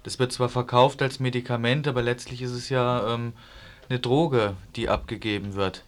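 A man taking part in a panel discussion, setting out arguments in a measured way. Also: medium pitch, fairly even intonation. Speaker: discussion participant.

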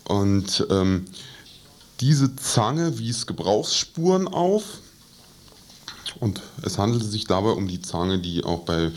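A man giving an explanatory report as a radio interview guest, careful and wordy, with pauses as he formulates. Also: lowish voice, sing-song, darkish tone. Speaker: trial reporter, studio guest.

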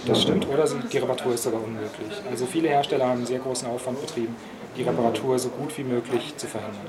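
A man's voice: medium pitch, fairly even intonation, racing, noisy background. A man who volunteers at a repair café, explaining things in an interview with many hesitations. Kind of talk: interview answers.

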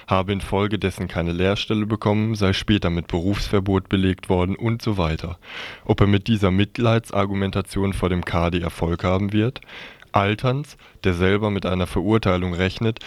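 A man's voice: deep voice, no echo. A man reading a scripted news report as a radio presenter.

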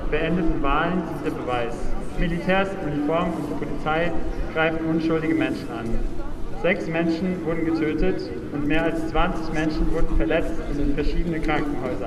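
A man reading a protest speech aloud at an outdoor demonstration, earnest and measured. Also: fairly high pitch, recorded from a distance. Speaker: speaker reading a translation. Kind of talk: rally speech read aloud. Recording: echoey outdoor PA from afar. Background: loud traffic and street noise.

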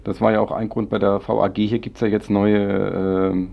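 A man's voice: fairly low pitch, little pitch movement, moderate pace, gravelly. A man interviewing a guest casually on radio, feeling for his words, in slightly dull, lo-fi sound.

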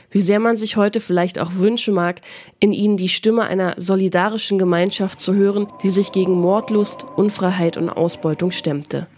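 A woman's voice: mid-range voice, darkish tone. A woman reads a scripted radio documentary as its narrator.

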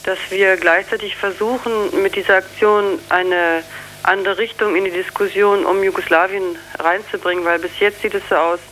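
A spokeswoman explaining in an interview, halting and searching for words. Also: mid-range voice, steady tone, fairly bright tone, slight background noise, poor audio. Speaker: campaign spokeswoman being interviewed.

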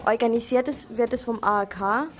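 A woman's voice: fairly high pitch, steady tone, brisk, soft background music. A woman presenting local radio news in an informal style, addressing listeners directly.

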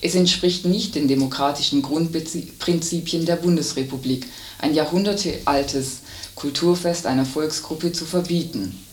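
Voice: medium pitch, a little slow, slight background noise. Roomy, distant news reader on radio. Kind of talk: scripted radio news reading.

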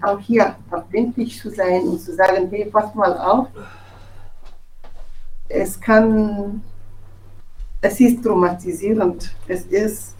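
A woman from Togo with a West African accent speaks German as a non-native, giving a halting, impassioned statement and repeating words for emphasis. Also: mid-range voice, a little mellow, distant mic, rough recording. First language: not German. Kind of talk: impassioned spoken statement, unscripted.

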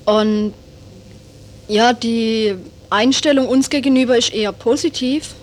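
A woman's voice: a little high, fairly even intonation, unhurried. A woman giving a spontaneous interview answer as an activist, hesitant and searching for her words. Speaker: citizens' initiative activist, interviewee. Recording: noisy interview with background ambience.

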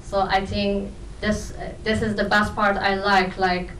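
A young woman from Afghanistan, not a native English speaker, answering questions informally and haltingly at a film talk. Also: mid-range voice, little pitch movement, a bit bright. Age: young adult. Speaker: documentary film protagonist. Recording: roomy, distant mic, faint noise.

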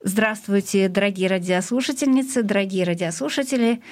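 Female radio host with medium-pitched voice. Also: fairly quick, loud music behind the voice. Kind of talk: programme introduction on community radio. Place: radio studio.

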